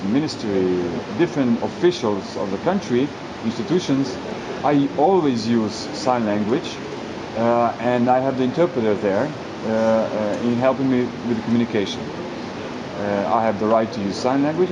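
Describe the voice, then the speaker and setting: A man giving a halting spoken interpretation in a formal interview, searching for words and repeating them. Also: medium pitch. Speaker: sign language interpreter voicing an interviewee. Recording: clear air-conditioning-like background hum.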